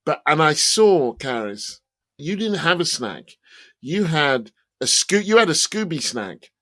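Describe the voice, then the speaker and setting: A man co-hosts a relaxed podcast, recorded close and dry with no room sound. He tells anecdotes with self-mocking, dry humor at an easy pace. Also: fairly high pitch, unhurried, no room sound. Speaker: podcast co-host. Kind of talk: casual chat.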